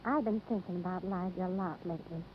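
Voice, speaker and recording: A woman acting as a soft-hearted, resigned ranch wife in a 1950s radio drama, measured and reflective, with an American accent. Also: on the low side, lightly acted. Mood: resigned, pitying. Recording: tinny, faintly noisy vintage radio.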